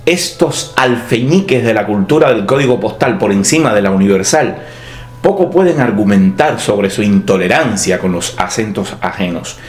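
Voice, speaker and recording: Wry Cuban man with lively intonation. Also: mid-range voice. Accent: Cuban Spanish, softened by years in Madrid. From Cuba. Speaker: podcast host and writer. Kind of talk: reflective spoken essay. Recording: roomy echo with soft background music.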